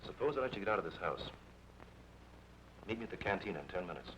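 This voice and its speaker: An actor playing a smooth, guarded businessman who fixes deals for a bandit chief, speaking in a measured, self-justifying way in old film drama style. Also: low-pitched, lively intonation, a bit bright. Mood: guarded, bargaining. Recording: dull, noisy old film soundtrack.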